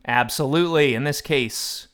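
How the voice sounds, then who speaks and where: A man's voice: mid-range voice, normal speed, a bit bright, clear, resonant tone. A man hosting a podcast film quiz with joking banter and a mock-formal quizmaster delivery. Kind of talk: comic film trivia banter.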